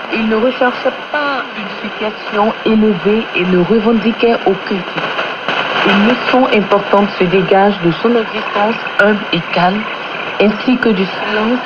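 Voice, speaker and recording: Female radio presenter for devotional narration, measured and reverent, low-pitched, buried in loud shortwave noise. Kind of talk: scripted religious narration read aloud. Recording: noisy, muffled shortwave radio broadcast.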